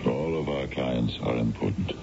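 A man playing an imposing, courteous financier in his fifties in a radio drama, measured and formal. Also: gravelly. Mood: courteous, smooth. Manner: measured, formal, hesitant openers. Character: imposing, courteous fifty-five-year-old male financier. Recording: dull, noisy, rumbling radio recording.

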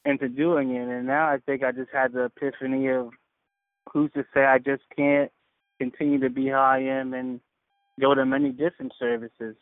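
A man shares reflective thoughts as a caller, choosing his words haltingly and earnestly. His mid-pitched voice comes through a narrow, radio-like phone connection with no room sound around it. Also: little pitch movement, no room sound. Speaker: caller on a faith community call. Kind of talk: casual, reflective personal sharing.

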